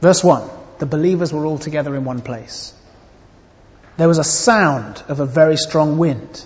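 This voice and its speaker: A man preaching a sermon, earnest and expressive, with shifting emphasis as he reads and explains scripture. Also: fairly high pitch, normal speed. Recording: dull sound with long hall reverb.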